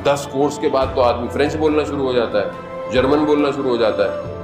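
A man giving a majlis sermon as a Shia cleric, moving from conversational preaching into impassioned, high-pitched appeals. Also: a little fast. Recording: echoey hall with music underneath.

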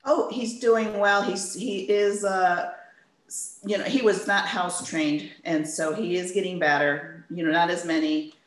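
Low-voiced chatty woman on a video call. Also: fairly even intonation, unhurried. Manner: chatty, hesitant. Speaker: volunteer. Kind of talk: casual introduction. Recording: roomy echo.

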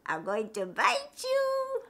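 A woman's voice: very high-pitched, huge pitch swings, slow, sharp tone, pitched above the performer's own voice, full character voice. A woman voicing a tricky, dangerous male snake in a folktale, wheedling and drawn out. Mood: threatening. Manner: wheedling and reproachful, then offhand.

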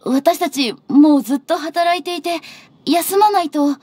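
High-voiced overworked young secretary. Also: female voice, measured pace, darkish tone, slight background noise. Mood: weary, pleading. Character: overworked, weary young female office secretary, pleading. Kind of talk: anime game voice acting.

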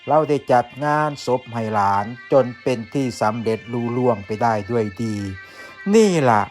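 A man's voice: mid-range voice, normal speed. Isan folktale storyteller, male, over music. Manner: folksy, addressing listeners directly. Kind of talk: oral folktale narration. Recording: music behind the voice.

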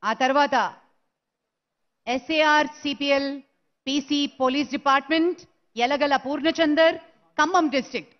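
A woman's voice: sits high, fairly even intonation. Woman announcing names at a ceremony. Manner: slow, measured name-calling into a PA. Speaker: event announcer. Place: large stadium ceremony. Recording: dull lo-fi broadcast sound.

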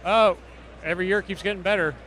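A pro shop owner being interviewed on a busy trade show floor, quick and enthusiastic. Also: male voice, sits high, steady tone, a bit bright, a little hiss or hum, low-quality recording.

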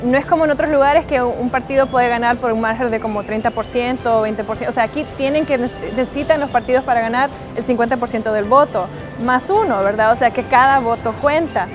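A woman's voice: a little high, brisk, hardly any pauses. A woman in her twenties from El Salvador, with a Salvadoran accent, giving an earnest, informal testimonial to camera. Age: 20s. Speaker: interviewee, emigrant voter. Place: outdoors, covered airport walkway. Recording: music under the voice. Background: music.

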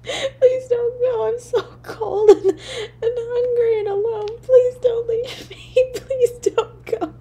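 A woman voicing a poor, lonely little girl in an audio drama, halting and breathy, with childlike stammering. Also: very high-pitched, fairly even intonation, mellow, full character voice. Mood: tearful pleading. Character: poor, lonely, freezing little match girl, timid and yearning. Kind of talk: solo audio drama monologue.

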